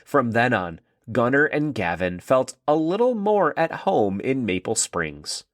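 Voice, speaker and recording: A man narrating a scripted story for children in an expressive read-aloud style. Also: medium pitch, a little slow, dry recording, clean studio sound.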